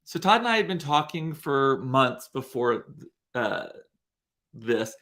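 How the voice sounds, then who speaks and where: A man's voice: medium pitch, big pitch swings, takes it slowly, bright, forceful tone. A congested, sniffly man in his forties hosting a casual livestream from a room at home, rambling and chatty as he tells stories. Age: 40s. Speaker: livestream host. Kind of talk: casual solo livestream chat.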